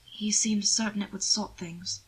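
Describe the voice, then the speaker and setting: A woman's voice: medium pitch, steady tone, measured pace, breathy edge, low-quality recording. A woman plays a frightened young man in an audiobook. He is timid and submissive, speaking with hesitation as if begging for mercy. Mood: fearful, subdued. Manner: hesitant, quiet, pleading. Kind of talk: audiobook character voice.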